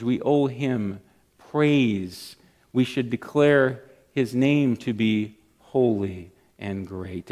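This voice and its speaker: Middle-aged preacher guy, mid pitch, earnest, lots of church hall echo. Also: a little mellow. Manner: earnest, emphatic preaching with pauses and restarts. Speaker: pastor. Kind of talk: sermon with scripture reading and prayer. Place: church sanctuary, at a lectern. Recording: long church hall reverb.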